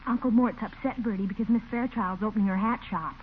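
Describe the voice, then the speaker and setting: An actress playing a sweet, reasonable young niece in 1940s radio comedy style. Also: female voice, medium pitch, quick pace, lightly acted. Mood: gently persuasive. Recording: old tinny 1940s radio broadcast, hissy.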